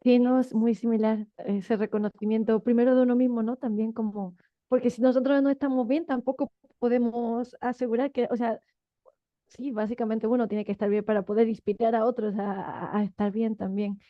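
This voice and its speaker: A woman's voice: on the high side, steady tone, brisk, no echo, dull audio. A woman moderating an online event, enthusiastic and searching for words as she reflects. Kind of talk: casual moderating.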